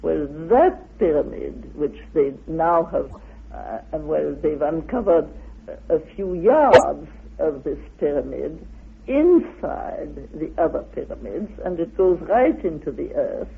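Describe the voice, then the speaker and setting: A woman giving an unscripted explanation in a halting way, often searching for words. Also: low voice, very animated, creaky, slightly rough, phone-quality sound. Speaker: interviewed researcher.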